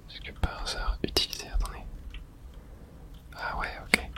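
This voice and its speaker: Hushed whispering ASMR gamer. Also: normal speed. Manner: whispers throughout, reads game texts aloud. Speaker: host playing and narrating a game. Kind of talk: whispered ASMR video game let's play.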